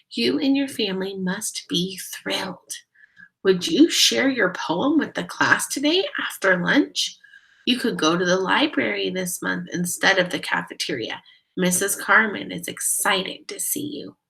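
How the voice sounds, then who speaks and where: A woman voicing a kind female schoolteacher in a storybook, gentle and encouraging. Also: medium pitch, unhurried, vocal fry, low-quality recording. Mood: pleased, encouraging.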